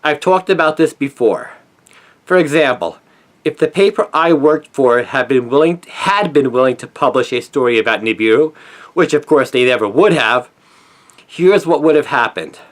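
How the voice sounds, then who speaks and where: A middle-aged man delivering a scripted opinion monologue in an earnest, emphatic manner with deliberate phrasing. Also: mid-range voice. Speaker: conspiracy commentator, former newspaper journalist.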